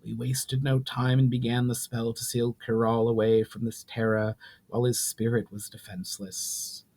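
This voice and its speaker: An ancient sorceress of a fantasy storybook, played by a man, speaks with solemn, pained weariness. The delivery is slow and grave, as if weighed down by long grief. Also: medium pitch, little pitch movement, darkish tone, lightly acted. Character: ancient grieving burning sorceress, solemn and weary.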